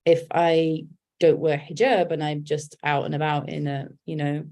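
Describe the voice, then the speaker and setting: A woman's voice: deep voice, flat delivery, moderate pace, mellow. A woman, a poet and writer talking candidly and thoughtfully in an interview, with many restarts. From England. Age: 40s. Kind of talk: reflective conversational interview.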